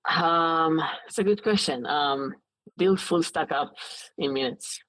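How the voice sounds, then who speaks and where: A man in his late twenties with an Israeli accent, talking casually as an interview guest in a halting way with frequent false starts. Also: on the high side, fairly bright tone, no room sound, poor audio.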